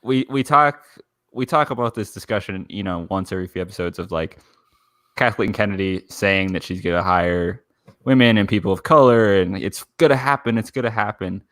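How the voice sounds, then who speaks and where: A man with a fairly low voice chats as a podcast co-host. The sound is close and dry, and the talk is casual and conversational. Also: brisk, fairly bright tone, no room sound. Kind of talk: casual fan podcast chat.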